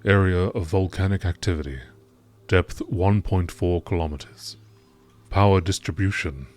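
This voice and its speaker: A man reading scripted science-fiction lore in the measured, informative style of a nature documentary narrator. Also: low-pitched, a little mellow.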